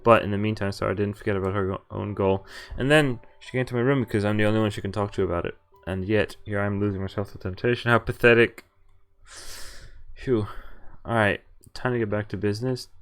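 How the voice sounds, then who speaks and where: A man's voice: on the low side, fairly even intonation, brisk, smooth. A man streaming a visual novel, reading its narration aloud and breaking off for wry comments, swearing and laughing.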